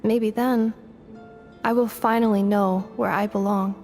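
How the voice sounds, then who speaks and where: A woman voicing an earnest, composed robot girl in a video game, with measured, formal, precise speech. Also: medium pitch, fairly even intonation, over music, lightly acted. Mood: earnest, hopeful.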